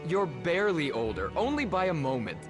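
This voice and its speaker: A young fantasy game hero, the overconfident twin brother. His voice is fairly high and swings freely, breezy and reassuring, always up for adventure. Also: sing-song, a little fast, over music. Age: young adult. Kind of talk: fantasy video game voice acting.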